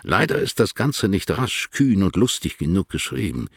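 An actor playing a cool, sardonic, haughty letter writer in a radio play, husky and formally measured. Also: male voice, low-pitched, very animated, no room sound, clean studio sound, full character voice. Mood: mocking.

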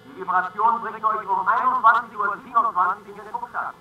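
An actor in a radio play as a brisk, jovial male mission controller, heard over a radio transmission. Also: high-pitched, fairly even intonation, lo-fi sound, a little hiss or hum. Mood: brisk, upbeat. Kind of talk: radio drama acting.

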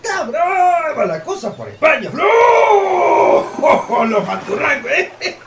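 A man's voice: extremely high pitch, wildly swooping pitch, slow, shouting, heavily acted. Boisterous old criollo countryman, swooping wildly. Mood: mocking glee. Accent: rural Argentine. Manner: folksy, exclamatory, prone to cackling. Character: rustic old criollo husband, boisterous, mocking, folksy. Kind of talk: radio drama acting. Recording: distant, echoey, noisy radio play recording.

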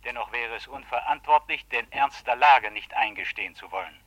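A man's voice: mid-range voice, brisk, noisy background, lightly acted. A male actor playing a grave, official radio announcer reading an emergency bulletin in a radio play. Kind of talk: scripted news bulletin within radio play.

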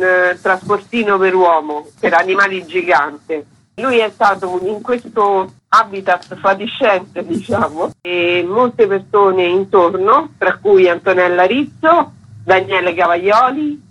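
A woman talks in a reflective interview about her theatre work. Her voice is husky and tense, and she explains things carefully, stopping now and then to find the word. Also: mid-range voice, a bit bright, rough recording. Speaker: theatre artist being interviewed. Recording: radio interview broadcast.

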